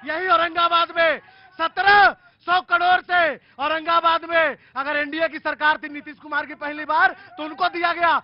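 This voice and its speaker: A man's voice: very high-pitched, level tone. A young man, a student leader firing off rhetorical questions in a street debate. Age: young adult. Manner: rapid, insistent, rhetorical questions. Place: outdoor street crowd. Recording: dull outdoor audio with crowd. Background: faint crowd.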